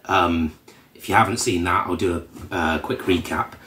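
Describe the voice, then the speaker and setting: A man with a cold and a slightly croaky voice, hosting a casual vlog update in a relaxed, rambling way with many ums. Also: sits low, moderate pace, slight background noise. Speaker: channel host. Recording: roomy echo from a distant mic.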